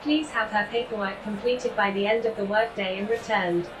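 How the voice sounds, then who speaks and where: A woman's voice: mid-range voice, fairly even intonation, moderate pace, noisy background, natural voice. A woman voicing a formal, procedural automated alert system in a science fiction audio drama. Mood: formal announcing. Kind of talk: scripted announcement in an audio drama.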